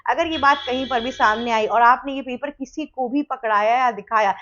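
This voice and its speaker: A woman's voice: a little high, normal speed, fairly bright tone, muffled recording, a little hiss or hum. An Indian woman explaining news as a presenter, conversational and emphatic, mixing in English words.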